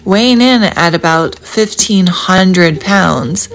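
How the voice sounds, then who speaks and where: A woman reading a calm, informative voice-over for a family travel vlog. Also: fairly low pitch, slow pace, rough recording. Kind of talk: scripted voice-over narration. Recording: voice-over with loud music behind.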